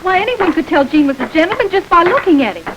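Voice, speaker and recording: A woman's voice: very high voice, expressive, fast, lo-fi sound, noisy background. An actress playing a trusting young woman about to be married, earnest and hopeful, in a 1930s crime film. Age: young adult.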